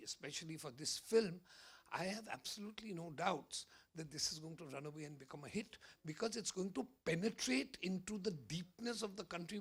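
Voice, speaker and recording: Hoarse, gravelly man, emphatic. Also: fairly high pitch, fairly bright tone. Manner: emphatic, persuasive, confident predictions. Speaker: film distribution executive. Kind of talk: press interview at an event.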